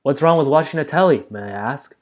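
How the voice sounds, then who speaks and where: Man as storybook father, domineering, sharp-tempered, snapping, menacing. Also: a little high, lively intonation, a little fast, dull audio, pitched above the performer's own voice, natural voice. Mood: snappish. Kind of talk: storybook read aloud.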